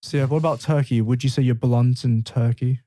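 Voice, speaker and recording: A man's voice: mid-range voice, fairly even intonation, brisk, dark tone, no echo. A man hosting a podcast, chatting casually, laughing easily and asking his guests questions. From England. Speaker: podcast host. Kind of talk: casual discussion.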